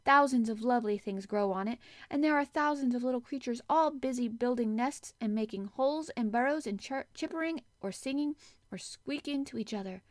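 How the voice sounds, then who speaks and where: A woman's voice: medium pitch, no room sound, studio quality, lightly acted. A woman voicing an earnest, frank and stubborn young girl in an audiobook story, speaking with enthusiasm. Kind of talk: audiobook character acting.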